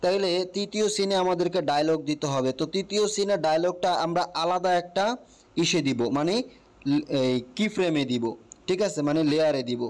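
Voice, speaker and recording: Higher-pitched Bangladeshi man, casual tutorial host. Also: a little fast. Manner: explanatory, often checks the listener follows. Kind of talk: casual step-by-step app tutorial.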